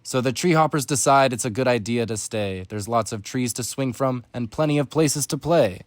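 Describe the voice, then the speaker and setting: A man's voice: medium pitch, normal speed, no echo. Man reading a rhyming children's storybook, dry recording. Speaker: storybook narrator. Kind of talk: rhyming children's read-aloud narration.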